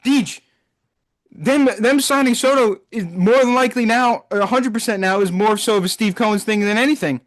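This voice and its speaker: A sports podcast co-host, a man whose voice sits very high and has gone hoarse. He rants and repeats himself as he reacts live. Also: normal speed. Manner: ranting, repeating himself in frustration. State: hoarse, losing his voice.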